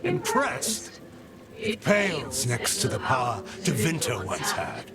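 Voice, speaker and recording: A man's voice: medium pitch, huge pitch swings, takes it slowly. A man playing a fanatical, menacing blood mage who declaims grandly through a possessed body, in a fantasy game. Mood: cold, commanding. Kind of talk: theatrical fantasy video game acting. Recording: loud music behind the voice.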